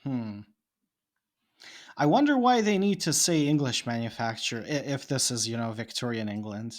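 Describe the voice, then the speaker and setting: A man's voice: medium pitch, lively intonation, moderate pace. A man from Bosnia, a podcast guest, speaking English with a Bosnian Serbian accent. He muses and thinks aloud in casual chat, often laughing.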